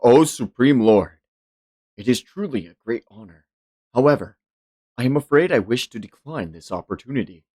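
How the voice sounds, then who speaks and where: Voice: mid-range voice, lively intonation, normal speed, a little mellow, breathy edge. A streamer voicing a solemn, humble manga knight who speaks formally and with deference. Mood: humble, earnest. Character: solemn, humble knight respectfully addressing a king.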